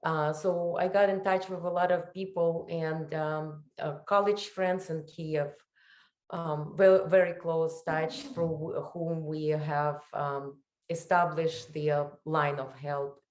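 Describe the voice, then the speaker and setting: Slow, halting Ukrainian woman, echoey room. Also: low-pitched, flat delivery, a little mellow. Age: middle-aged. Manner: halting, searching for words. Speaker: interview guest. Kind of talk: personal account in an interview. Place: indoor room on a video call. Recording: echoey room on video call.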